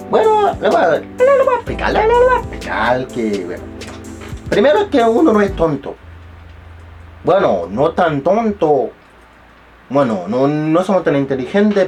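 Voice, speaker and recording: Young man, halting non-native Spanish. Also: a little high, a little mellow. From abroad, not Chile. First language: not Spanish. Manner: rambling, self-mocking, many restarts and repeated words. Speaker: vlogger. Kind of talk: casual storytelling to camera.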